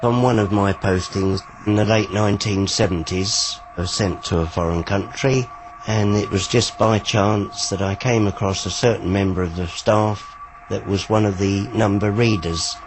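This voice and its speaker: A man's voice: fairly low pitch, fairly even intonation, normal speed, music in the background, poor audio. An older British man, a former radio operative, recollecting in an interview.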